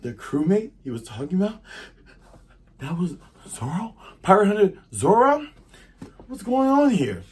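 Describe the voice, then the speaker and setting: A man's voice: medium pitch, sing-song, fairly quick, a little mellow, slightly rough. A man voicing a spirited, defiant young woman thief who exclaims dramatically and indignantly in an anime retelling. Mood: astonished.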